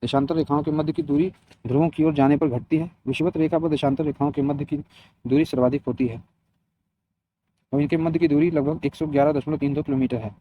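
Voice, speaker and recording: Higher-voiced man teaching, dull recording. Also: fairly even intonation, fast, a little hiss or hum. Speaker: geography teacher for competitive exam students. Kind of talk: scripted lesson read aloud with short explanations. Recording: dull, lo-fi, muffled sound.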